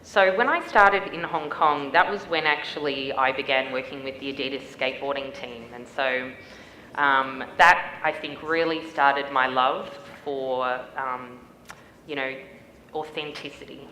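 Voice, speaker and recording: A woman's voice: a bass voice, unhurried, bright, forceful tone. A middle-aged Australian woman speaking as a guest on stage, chatty and upbeat with many small fillers. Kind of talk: stage interview. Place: stage in a large hall. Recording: echoey hall with faint noise.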